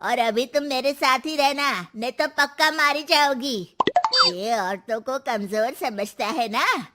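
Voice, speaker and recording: A woman's voice: high voice, slow pace, bright, forceful tone, heavily acted. A woman voicing a feisty, fearless old mother-in-law in a cartoon, bold and scolding. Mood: defiant. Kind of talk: cartoon voice acting.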